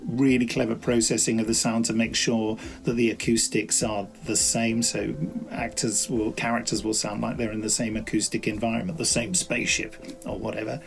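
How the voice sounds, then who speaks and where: A man's voice: on the low side, flat delivery, normal speed, creaky, few pauses. A man explaining something informally in an interview.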